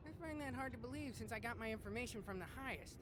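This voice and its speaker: A woman's voice: a little high, racing, over music, natural voice. A woman voicing an officious, haughty official in a video game, pompous and formal.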